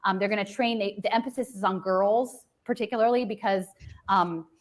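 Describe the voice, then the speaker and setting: A woman of middle pitch explains her views in long, earnest stretches of talk. She restarts her sentences often, trails off with fillers, and keeps pushing the point forward. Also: brisk. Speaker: guest.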